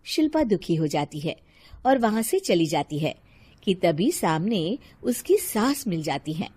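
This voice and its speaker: A woman narrating a cartoon story in a soft, even storytelling delivery. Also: on the low side, measured pace, husky.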